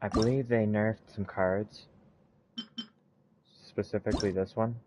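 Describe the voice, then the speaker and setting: Low-voiced man thinking aloud while gaming. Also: fairly even intonation, a little slow. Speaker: gaming video creator. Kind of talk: casual gameplay commentary. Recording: clear background noise, mouse clicks. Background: noise and clicks.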